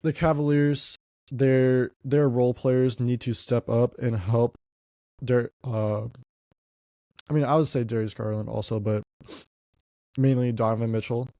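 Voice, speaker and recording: A man's voice: medium pitch, steady tone, a little slow, long pauses, mellow, dry recording, studio quality. A young man hosting a podcast, talking casually about basketball, congested and stuffy, often repeating words as he goes. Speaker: podcast host.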